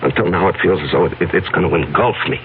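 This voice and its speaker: An actor playing an anguished fugitive confessing to his fiancée in a 1970s radio drama, halting and earnest with heavy pauses. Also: low-pitched, natural voice. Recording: narrow-band vintage radio broadcast, faint hiss.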